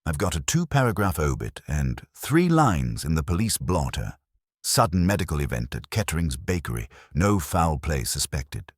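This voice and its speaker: A man voicing a breezy, wry newspaperman in a detective audiobook, brisk and wisecracking. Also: very deep voice, very animated, breathy edge, no room sound, clean studio sound, full character voice.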